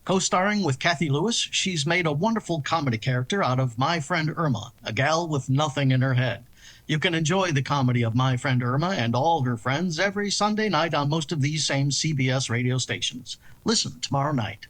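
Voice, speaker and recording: A man working as an announcer on a vintage-style radio broadcast. His voice is a little high, and he reads scripted copy in 1950s fashion. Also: animated, fairly quick, fairly bright tone.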